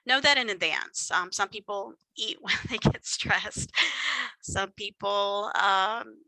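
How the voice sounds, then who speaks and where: A woman's voice: medium pitch, slow pace, bright, forceful tone, no echo, rough recording. A woman with a Texan accent giving a conversational workshop lecture with slides, chatty and self-deprecating with plenty of ums. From Texas. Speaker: presenter at a professional workshop.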